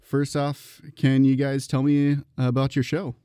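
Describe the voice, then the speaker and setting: Mellow-voiced male interviewer. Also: medium pitch, completely flat delivery, unhurried, clear, resonant tone, no echo, clean studio sound, soft background music. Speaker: podcast interviewer, host. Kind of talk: casual interview.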